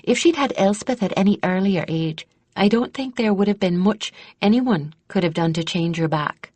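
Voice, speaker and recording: A woman voicing a modest, earnest young female trainee in a fantasy audiobook, measured and sincere. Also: mid-range voice, darkish tone, husky, no room sound, pitched above the performer's own voice, close to an everyday voice.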